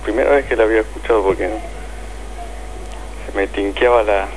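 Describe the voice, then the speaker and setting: A man's voice: fairly low pitch, brisk, slight background noise, low-quality recording. A man with an Argentine accent calling in to a radio show, chatting casually and somewhat hesitantly. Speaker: phone-in caller. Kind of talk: casual chat. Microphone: telephone call. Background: clear music.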